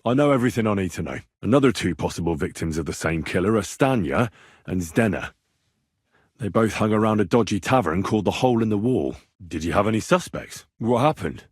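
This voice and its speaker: A man's voice: fairly low pitch, animated, fairly quick, husky, no room sound, clean studio sound. A man playing a stubborn, earnest young man in a video game, speaking plainly and probingly as he investigates. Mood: businesslike. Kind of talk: video game character dialogue.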